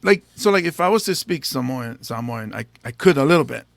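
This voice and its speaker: Man, host, casual interview chat, playful, halting with fillers, gravelly voice, bouncy pitch. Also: expressive, no echo. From United States. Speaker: podcast host.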